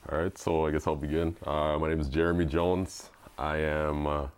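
A man from Canada, a seminary student speaking on a panel in a relaxed, thoughtful way with many fillers. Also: rumbling low, normal speed, clear, resonant tone. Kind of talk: casual discussion.

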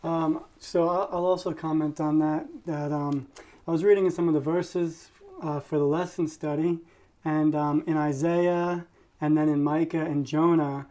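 Man from new york, mellow warm voice, soft-spoken, hesitant. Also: fairly high pitch, level tone, measured pace. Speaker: panelist.

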